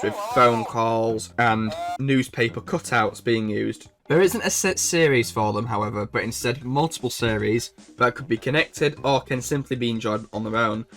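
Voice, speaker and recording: A man's voice: mid-range voice, a bit bright. A man hosting a video essay, reading informal scripted narration. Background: background music.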